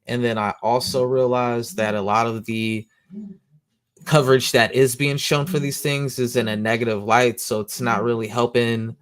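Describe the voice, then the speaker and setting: A man hosting a comic book show, rambling casually in slang, who says he has a loud voice. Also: mid-range voice, flat delivery, normal speed, clear, resonant tone, no echo. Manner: slangy, rambling, lots of "you know what I'm saying". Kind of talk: casual monologue.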